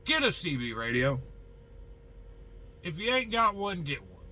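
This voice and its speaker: A man's voice: on the high side, big pitch swings, unhurried, sharp tone, a little hiss or hum. An American man, a truck driver, talking casually and bluntly with a folksy, opinionated manner, tossing in rhetorical questions and mild swearing. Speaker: truck driver giving advice. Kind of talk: casual opinionated monologue to viewers.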